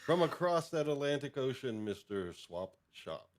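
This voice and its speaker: A man co-hosting a casual live stream, informal and a little halting as he talks. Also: medium pitch, very animated, a little mellow.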